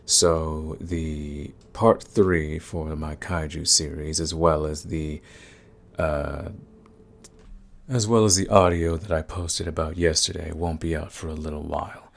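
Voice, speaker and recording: A man's voice: a bass voice, gravelly. A man giving a casual update to his listeners as a channel host. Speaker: ASMR voice performer and channel host.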